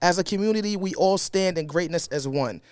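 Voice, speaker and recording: A man with a Brooklyn accent co-hosting a casual talk show, animated and playful, reading a guest bio aloud. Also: high-pitched, a little fast, slight background noise. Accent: Brooklyn, New York. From New York. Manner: animated, playful, laughs often. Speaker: co-host.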